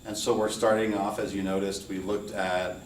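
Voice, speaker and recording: A middle-aged American man from New York and Hawaii, speaking as a college instructor in an informal, measured and earnest welcome lecture. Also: fairly low pitch, level tone. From United States, New York City and Hawaii. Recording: roomy echo, distant mic, faint noise.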